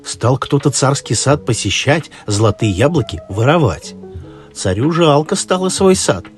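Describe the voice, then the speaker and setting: A man narrating a fairy tale expressively, in an unhurried, folksy storytelling manner. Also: mid-range voice, expressive. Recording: music behind the voice. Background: background music.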